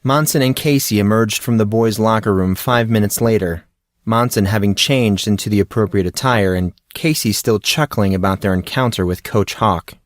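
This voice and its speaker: A man's voice: on the low side, moderate pace, no echo, clean studio sound. A man reading scripted fiction as an audiobook narrator.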